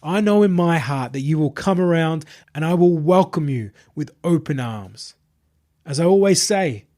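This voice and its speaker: A male narrator plays a possessed online idol who believes himself a messiah. The voice is lifted high and husky, with a composed, preaching delivery. He speaks soothingly and grandly to his followers, in the manner of an audio short story villain. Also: darkish tone. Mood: serene, smug.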